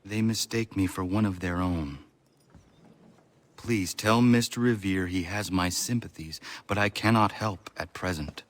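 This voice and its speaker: An actor playing a stoic, earnest young warrior in a video game, speaking in a terse, formal, measured manner. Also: male voice, low voice. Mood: guarded. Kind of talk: video game character acting.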